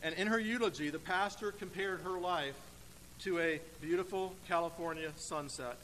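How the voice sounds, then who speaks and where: A man preaching a sermon in a church, speaking emphatically and deliberately with dramatic pauses. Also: high voice, fairly bright tone. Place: church sanctuary, indoors. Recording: echoey church hall with faint noise.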